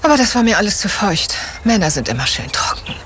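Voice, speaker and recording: A woman's voice: medium pitch, very animated, fast, noisy background, natural voice. An actress playing an eccentric, blasé middle-aged aristocratic mother in dubbed film dialogue.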